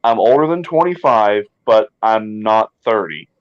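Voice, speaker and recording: A young man with a mid-range voice, relaxed and a little hesitant, leaning on "um" and "well" as he talks. The audio is muffled and a faint hiss sits behind him. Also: lowish voice, unhurried. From Tennessee. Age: 20s. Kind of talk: casual chat.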